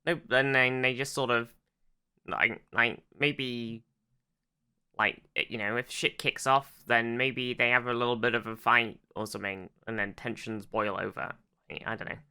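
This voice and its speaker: A podcast co-host in casual discussion, explaining things haltingly, circling back and swearing freely. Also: medium pitch, fairly even intonation, sharp tone, clean tone.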